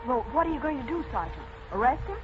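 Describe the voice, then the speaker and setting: An actress playing a spirited, curious young newspaper reporter with a pert, earnest manner in an old radio drama. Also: female voice, high voice, sing-song, quick pace. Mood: flustered, curious. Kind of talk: 1940s radio acting. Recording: noisy muffled old radio recording.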